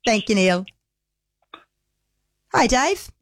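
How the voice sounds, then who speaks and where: A woman's voice: a little high, very animated, extremely slow, dry recording. Chatty New Zealand woman hosting talkback. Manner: chatty, warm-hearted, laughs easily, many ums. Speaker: radio talkback host. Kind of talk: casual talkback chat with callers. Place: radio studio.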